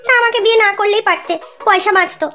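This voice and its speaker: A woman's voice: very high voice, very fast, pushed and bright, faint music behind. A woman playing a young village mother in a children's cartoon, by turns coaxing and complaining as she chides. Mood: chiding. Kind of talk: Bengali children's cartoon acting.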